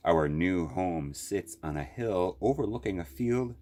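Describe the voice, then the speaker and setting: Slow, very low-voiced man reading a storybook. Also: animated, warm tone. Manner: slow, deliberate phrasing with long pauses. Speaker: library storyteller reading aloud. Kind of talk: children's picture book read-aloud.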